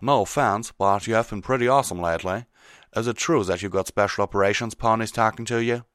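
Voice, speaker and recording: A man's voice: fairly low pitch, fast, fairly bright tone, dry recording, clean studio sound, voice pushed up, natural voice. A man voicing a plain-spoken, blunt cartoon farm pony mare. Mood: friendly, probing. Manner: folksy, blunt.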